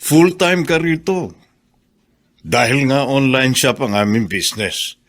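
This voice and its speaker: An actor playing a sly, businesslike Filipino shop boss with an insinuating manner in a radio drama. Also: male voice, medium pitch, slow pace, fairly bright tone. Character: sly shop boss with a shady side offer.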